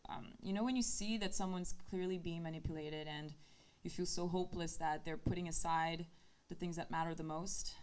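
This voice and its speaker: Giggly woman introducing a song. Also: lowish voice. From Brazil. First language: Portuguese. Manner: light, giggly, with frequent ums. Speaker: guest musician.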